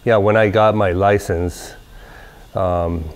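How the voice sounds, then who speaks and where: Low-voiced man in his forties from Southern California, laid-back interviewee with a wandering delivery, recorded seated in a workshop. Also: slow pace. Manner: relaxed, rambling with many fillers. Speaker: company president. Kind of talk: casual interview. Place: large workshop garage, indoors.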